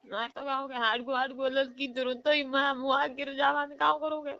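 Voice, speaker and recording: High, bright Rajasthani woman on phone. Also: level tone, brisk, no echo. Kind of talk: casual phone conversation. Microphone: telephone. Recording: dull lo-fi phone call recording.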